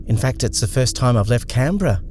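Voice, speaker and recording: A man's voice: mid-range voice, expressive, brisk, darkish tone, a little hiss or hum, voice pushed up, close to an everyday voice. A man voicing a polite, hesitant young woman who is nervous. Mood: anxious.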